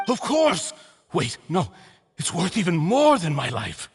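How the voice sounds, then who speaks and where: A haunted male convict in an anime game. He pleads and stammers in a highish voice that lurches up and down, with a long reverb around it. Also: huge pitch swings, a little slow, creaky, faint music behind, exaggerated voice. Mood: desperate.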